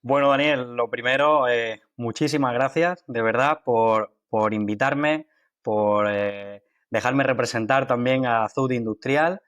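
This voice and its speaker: A man from Málaga with an Andalusian accent talks as an interview guest, starting over often and filling gaps with hesitations. His voice sits at an ordinary middle pitch. The recording is dry and close, with little room sound. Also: fairly bright tone, no room sound. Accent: Andalusian Spanish from Málaga. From Málaga, Spain. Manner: halting, with many fillers and restarts. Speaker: guest, water industry engineer. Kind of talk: conversational interview.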